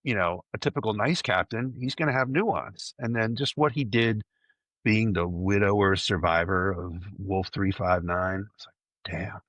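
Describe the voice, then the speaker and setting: Deep-voiced, effusive man hosting a podcast. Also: a little slow, fairly bright tone, no echo, highs cut off. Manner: effusive, emphatic, repeats words for emphasis. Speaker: podcast host. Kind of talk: casual fan discussion.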